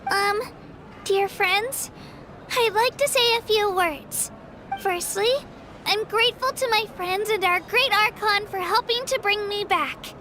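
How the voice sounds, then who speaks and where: A woman's voice: very high voice, measured pace, noisy background. An actress playing a modest, earnest young girl warrior in a video game, speaking politely and sincerely. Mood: grateful, earnest. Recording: loud music behind the voice.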